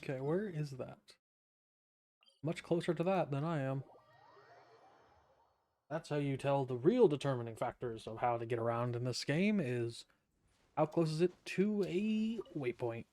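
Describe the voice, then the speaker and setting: A man's voice: mid-range voice, measured pace, long pauses, warm tone. A man streaming a video game, giving casual commentary with wry, joking asides.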